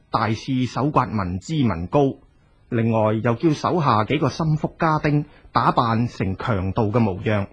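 A man's voice: mid-range voice, slightly rough, lo-fi sound. A man with a Cantonese accent narrating a folk tale as a scripted audiobook storyteller.

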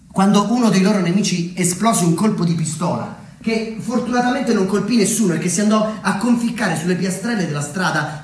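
A man performing a scripted theatrical monologue as narrator, telling his story deliberately with dramatic pauses and emphatic swings. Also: fairly high pitch, slight background noise. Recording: very echoey, distant, under loud plucked guitar music. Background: plucked guitar music.

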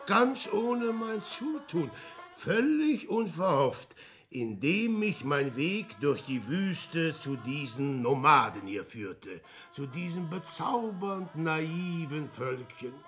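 An actor playing a boastful, lecherous adventurer posing as a prophet in a verse radio play, declaiming theatrically between musing and crowing. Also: male voice, on the high side, animated, takes it slowly, a bit bright, soft background music. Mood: smug musing.

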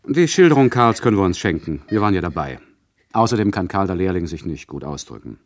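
A man's voice: sits low, sing-song, fast talker, slight background noise. A man acting as a sardonic, condescending radio play narrator, addressing his listeners with mock patience. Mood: dismissive. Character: condescending, chatty male narrator who mocks his listeners.

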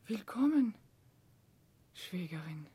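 An actress playing a gentle, helpless young mother in a 1960s German radio drama, soft, breathy and timid. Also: on the low side, big pitch swings, slow, no room sound, heavily acted. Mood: meek. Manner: pleading, timid.

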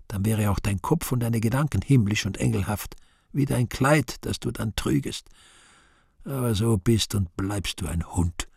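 An actor playing a bitter, self-loathing yet proud devil in a radio play, gravelly and husky, brooding theatrically with sudden swells and hushes. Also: male voice, lowish voice, no echo, studio quality, exaggerated voice. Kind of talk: German radio play acting.

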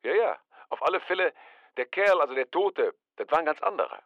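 Cocky gossip, colloquial, teasing, lively pitch, dry dull phone recording. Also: male voice, a little fast, no room sound. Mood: smug, teasing. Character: chatty, cocky working-class male gossip, early retiree. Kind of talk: German radio drama acting.

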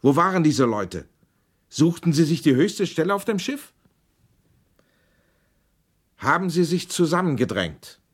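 Male inquiry chairman type, radio play, insistent and formal, rough husky tone, animated intonation. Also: a little high, a little slow, long pauses, full character voice. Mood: insistent, pressing. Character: persistent male senator interrogating witnesses at inquiry. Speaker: investigating questioner. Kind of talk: German documentary radio drama, formal questioning.

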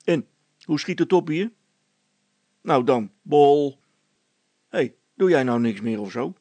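A man's voice: a little high, expressive, slow, warm tone, dry recording, full character voice. A man voicing an officious village constable who admonishes people in colloquial speech, in a storybook tale. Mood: brusque. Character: officious male village constable keeping order.

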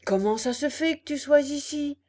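A woman voicing a sly male fox who feigns gentlemanly sympathy in a storybook, theatrical and drawn out. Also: high-pitched, normal speed, voice pushed up, close to an everyday voice. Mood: effusive.

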